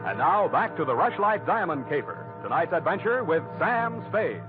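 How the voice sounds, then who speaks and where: A man announcing and reading an advert as a 1940s radio announcer, with a polished sales pitch. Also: sing-song, normal speed, over music. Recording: muffled old radio recording.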